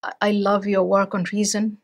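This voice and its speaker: Woman, middle-aged, interview guest, measured and thoughtful, mid-pitched, restarts often. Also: monotone. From Somalia. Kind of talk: interview conversation.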